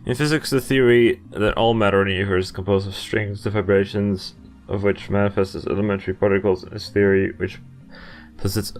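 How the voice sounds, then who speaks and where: A young adult man with a fairly low voice plays a game and talks over it casually. He reads the text aloud and is easily amused as he comments. Game music plays behind him. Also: steady tone, brisk. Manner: easily amused, chuckles often. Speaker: gamer recording a playthrough.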